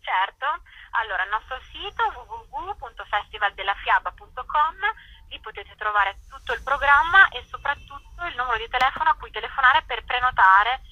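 A woman's voice: a little high, lively intonation, a little fast, pushed and bright, no echo, lo-fi sound. A woman explaining things thoughtfully and emphatically in an interview, as a festival director.

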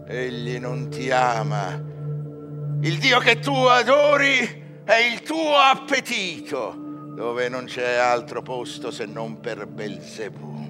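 Very slow, tormented scholar sorcerer. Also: male voice, fairly high pitch, full character voice. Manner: brooding, declamatory, dramatic pauses. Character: tormented, proud scholar sorcerer turning to the devil. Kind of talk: verse tragedy monologue. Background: music.

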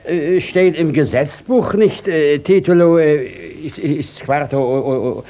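An actor playing a shifty, flustered village judge who stammers and blusters in a classical German radio play. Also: male voice, fairly high pitch, measured pace, dark tone, muffled recording, close to an everyday voice. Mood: flustered, evasive. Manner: stammering, hesitant, evasive, wheedling.

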